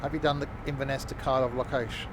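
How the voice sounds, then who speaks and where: A man live-streaming a train simulator, chatting loosely with his viewers and filling pauses with lots of ums and uhs. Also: mid-range voice, noisy background. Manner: relaxed, rambling, many fillers, chats with viewers. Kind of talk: casual live-stream chat.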